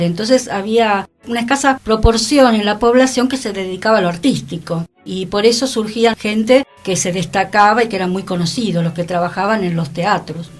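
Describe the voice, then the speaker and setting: A woman with an Argentine accent co-hosting a radio programme, discussing culture in a casual conversational way. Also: mid-range voice. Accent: Argentine, Rioplatense. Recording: faint music behind the voice. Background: music.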